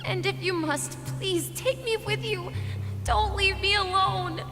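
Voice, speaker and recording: Tiny, very high-pitched child. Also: female voice, unhurried, in a large hall, over music. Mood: pleading. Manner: pleading, fragile. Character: small, timid young child, anxious and attached. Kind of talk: video game drama acting.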